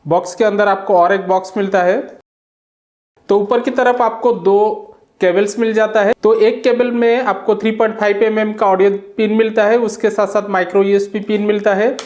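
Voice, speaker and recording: A woman's voice: mid-range voice, steady tone, normal speed, darkish tone. Indian woman narrating a product review. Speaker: tech review channel host. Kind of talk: casual product unboxing narration.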